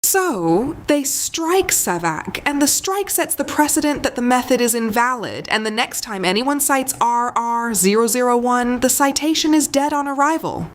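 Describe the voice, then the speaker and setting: A woman playing a stubborn, sharp-minded junior diplomat in a sci-fi audio story, speaking carefully and analytically. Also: on the high side, animated, moderate pace, hardly any pauses, close to an everyday voice. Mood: grim, reasoning. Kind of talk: audio fiction character dialogue.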